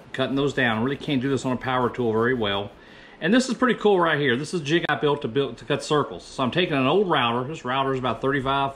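A man's voice: mid-range voice, a bit bright. Guy in his 50s doing a casual woodworking voiceover, rambles and corrects himself, mid voice, bit of noise behind. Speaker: woodworker presenting own project. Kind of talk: casual voice-over narration of woodworking. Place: small indoor woodworking workshop.

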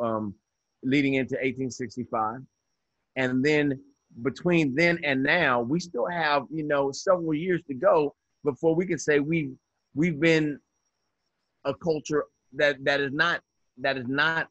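A man's voice: mid-range voice, a bit bright, no room sound, lo-fi sound. Earnest man in his fifties on webcam. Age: 50s. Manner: earnest, reflective, with many restarts. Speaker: guest educator. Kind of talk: interview on a video call. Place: indoors by a window. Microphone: video call webcam.

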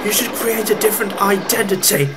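A man voicing a gentle, patient female tank engine in a children's story, speaking softly and a little hesitantly. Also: high voice, fairly quick, heavy background noise, pitched above the performer's own voice. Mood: gentle, earnest. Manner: soft, hesitant, sighing. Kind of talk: children's storybook character acting.

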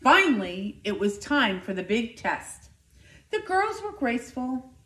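Female storyteller for children, very lively intonation, unhurried and emphatic, recorded in an echoey room. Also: on the high side. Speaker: school nurse reading aloud to children. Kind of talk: animated children's picture book read-aloud. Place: living room by a fireplace, indoors. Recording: roomy, echoing indoor sound.

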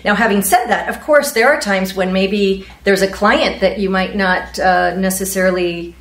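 A woman's voice: medium pitch, moderate pace, a bit bright. A woman in her forties from Ecuador, a real estate agent giving earnest answers in a panel interview, with Spanish as her first language. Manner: earnest, gestures while explaining. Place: meeting room in front of a large screen. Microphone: far camera mic. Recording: echoey room from far off, faint noise.

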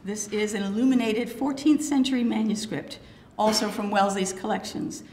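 A woman in her sixties with a gravelly, hoarse voice, giving a prepared lecture as a curator, speaking into a podium microphone with some fillers and pauses. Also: mid-range voice, steady tone, darkish tone, a little hiss or hum. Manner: measured, slightly halting delivery with fillers. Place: lecture hall with wood paneling. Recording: roomy hall, faint ambience.

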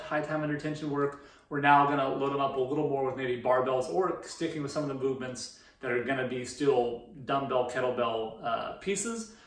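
A man in his thirties giving an informal, explanatory presentation as a fitness coach in a conversational way. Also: mid-range voice. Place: indoor room beside a presentation screen. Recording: roomy echo, distant microphone.

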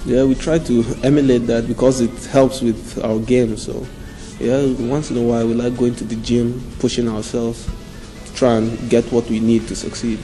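A man's voice: mid-range voice, level tone, normal speed, dark tone, faint music behind, low-quality recording. A young man answering interview questions indoors in an easygoing, modest way. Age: young adult. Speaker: interviewee, footballer's son. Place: indoor room.

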